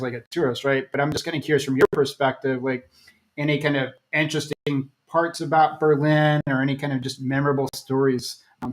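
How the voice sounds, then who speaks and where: A man's voice: medium pitch, low-quality recording. An American man hosting an interview, rambling casually with restarts and fillers. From United States. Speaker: host.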